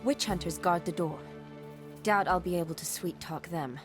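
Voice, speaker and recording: A woman acting a plucky, resourceful young woman in a video game. Her voice sits a little low for a woman, and game music plays clearly behind her. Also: normal speed, lightly acted. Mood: urgent. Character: young, plucky, resourceful female medic friend.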